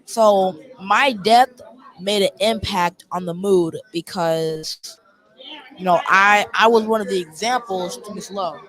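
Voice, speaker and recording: A young cast member with a mid-pitched voice gives interview answers. The delivery is halting and very slow. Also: a bit bright, poor audio.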